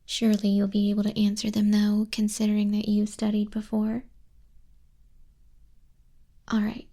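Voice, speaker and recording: A woman playing an exacting female griffin flying instructor in a hushed, breathy, measured ASMR roleplay, close to a binaural microphone. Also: mid-range voice, completely flat delivery, natural voice. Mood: exacting, composed. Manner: measured, unhurried, strict examiner tone. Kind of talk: soft-spoken, whispery ASMR roleplay.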